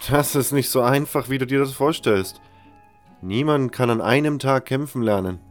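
A man's voice: mid-range voice, moderate pace, voice pushed up, lightly acted. Guy doing a veteran fighter teaching swordplay, dry n a bit condescending, fantasy audio drama, faint music behind. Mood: skeptical. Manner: instructive, dry, slightly condescending. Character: seasoned male fighter, patient, mocking sword mentor. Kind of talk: fan audio drama character reading.

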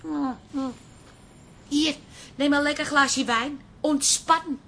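A woman's voice: sits high, fairly even intonation, takes it slowly, pushed and bright, a little hiss or hum, heavily acted. A woman playing a persuasive, encouraging niece in a radio comedy drama. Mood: coaxing, cheerful. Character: cheerful, coaxing niece with opinions on dresses.

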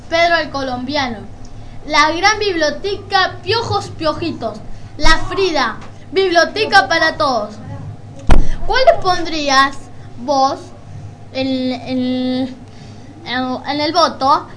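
High-voiced Argentine woman on radio. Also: slow pace, a bit bright, slight background noise. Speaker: radio co-host. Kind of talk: informal radio announcing, reading out titles and numbers.

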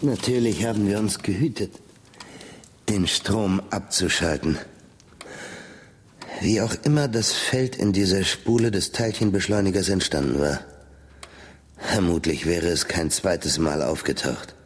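An actor in a German radio play as a middle-aged researcher, dry, sardonic and measured in his delivery. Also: sits low, slightly rough. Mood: reflective, matter-of-fact. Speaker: narrator and lead character of a radio play. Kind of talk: German radio drama acting, first-person narration.

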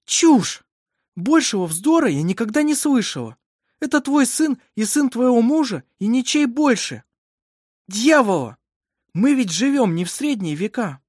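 A woman voicing a resolute, emphatic midwife in an audiobook, speaking with brisk authority. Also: lowish voice, lively intonation, darkish tone, slightly rough, no room sound, studio quality, pitched above the performer's own voice. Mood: indignant.

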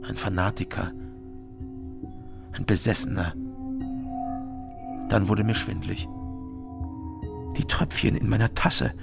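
Casting: terse noir detective for radio crime drama, lowish gravelly voice, music beneath. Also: male voice, steady tone, slow pace, pauses often, exaggerated voice. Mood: brooding, terse. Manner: terse, clipped sentences. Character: laconic male East German private detective. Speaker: narrator and lead actor.

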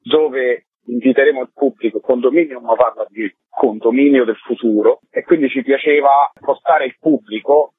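Male theatre director, husky voice, phone interview, thin narrowband line, explanatory delivery. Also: mid-range voice, no echo. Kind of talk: radio interview, explaining a project. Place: phone call to a radio show.

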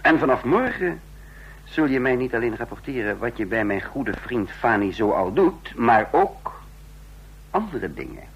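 An actor playing a cold, calculating intelligence chief who speaks formally and deliberately in a radio drama. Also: male voice, medium pitch, very animated, husky, exaggerated voice, low-quality recording. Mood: cold, commanding. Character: cold calculating intelligence chief, narrating his own downfall. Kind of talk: radio drama acting and first-person narration. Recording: vintage radio play with faint hum.

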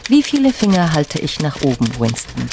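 An actress playing a cold, controlling party interrogator in a German radio drama, speaking in a measured, instructive manner. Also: female voice, deep voice, sing-song, background noise. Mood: cold, menacing.

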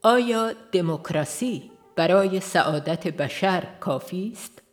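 A woman reading a nonfiction audiobook aloud in a measured, earnest manner, posing rhetorical questions as she goes. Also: on the low side, expressive, faint music behind. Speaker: audiobook reader. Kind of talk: scripted nonfiction narration.

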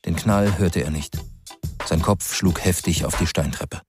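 A man narrating a scripted crime radio drama as a grave, sober storyteller with a measured delivery. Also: low-pitched, loud music behind the voice. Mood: grave, tense.

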